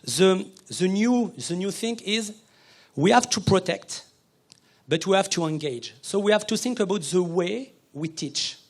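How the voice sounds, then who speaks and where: A man answering questions on a conference panel, his halting and full of restarts and repeated words. His voice sits fairly high for a man. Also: animated. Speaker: researcher presenting a project.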